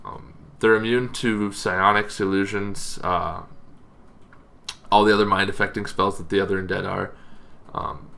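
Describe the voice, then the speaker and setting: A man hosting a tabletop gaming channel, giving a casual, informal explainer with halting, loosely phrased delivery. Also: deep voice, fairly even intonation, vocal fry, pushed and bright.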